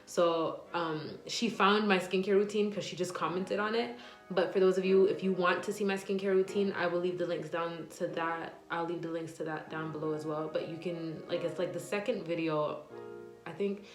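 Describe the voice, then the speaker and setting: A chatty young woman in her twenties with a slightly low voice, answering viewer questions in a relaxed vlog. She rambles and fills her talk with "like" and "um". The room is echoey, and faint music plays underneath. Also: moderate pace. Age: 20s. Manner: chatty, rambling, many "like" and "um" fillers. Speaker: nurse and vlogger.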